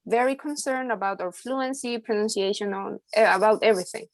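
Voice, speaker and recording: A woman's voice: medium pitch, fairly quick, dry recording. A young woman, a university student explaining her views in a class discussion.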